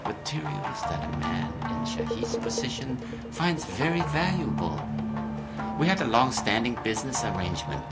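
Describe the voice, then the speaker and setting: An actor playing a courteous but menacing Taipei crime lord in a video game, speaking formally and with care. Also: male voice, medium pitch, big pitch swings, over loud music. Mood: smooth, guarded. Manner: formal, measured, veiled menace. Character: courteous, menacing male Taipei triad boss.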